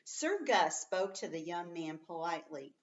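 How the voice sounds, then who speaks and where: Slightly muffled woman teacher, expressive reader. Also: fairly low pitch, far from the mic. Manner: expressive, emphatic, slows right down on story words; patient, simple step-by-step explaining. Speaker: teacher reading a story aloud to young pupils. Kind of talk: read-aloud lesson with explanations for children.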